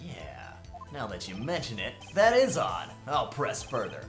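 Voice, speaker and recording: A woman's voice: extremely low pitch, wildly swooping pitch, a little slow, echoey room, soft background music, heavily acted. A woman voicing a brash, sarcastic young male defense lawyer in a courtroom game fan dub, theatrical and teasing. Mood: probing.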